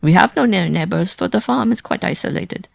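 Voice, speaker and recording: A man's voice: high voice, expressive, fairly quick, creaky, no echo, clean studio sound, pitched above the performer's own voice, exaggerated voice. A man voicing a frightened, worn-out wife in an audiobook, pleading breathlessly in an overwrought way. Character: desperate frightened wife, worn-out former circus performer. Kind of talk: audiobook character acting.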